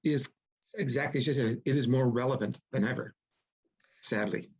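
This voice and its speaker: A man's voice: medium pitch, normal speed, a little mellow, breathy edge, dull audio. A man speaking as an interviewed author and producer, explaining things reflectively with folksy turns of phrase. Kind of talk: interview conversation.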